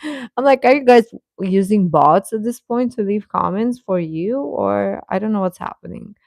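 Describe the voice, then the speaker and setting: A woman hosting a casual fan livestream, rambling and halting as she replies to comments, often with a chuckle in her talk. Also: medium pitch, lively intonation, gravelly, a little mellow, no echo, clean studio sound. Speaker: host of a K-pop fan channel.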